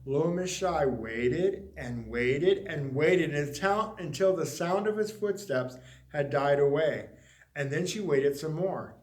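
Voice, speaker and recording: A man reading a children's story expressively, with dramatic, drawn-out emphasis on words. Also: on the high side, echoey room. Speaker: story reader.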